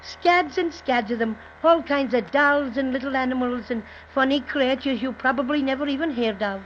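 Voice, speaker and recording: A woman playing a small, brisk, kindly toy bear guide in a 1930s radio play. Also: high-pitched, natural voice. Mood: cheerful. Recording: muffled noisy 1930s radio recording.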